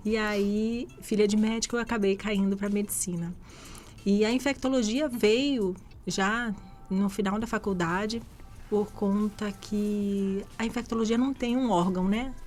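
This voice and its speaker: A woman's voice: medium pitch, fairly even intonation, a little slow, a little mellow, faint music behind. A woman from Rio de Janeiro with a Carioca accent, answering interview questions as a guest doctor in an explanatory, conversational way. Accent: Carioca Brazilian Portuguese. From Rio de Janeiro, Brazil. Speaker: guest infectious disease doctor. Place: live radio podcast interview.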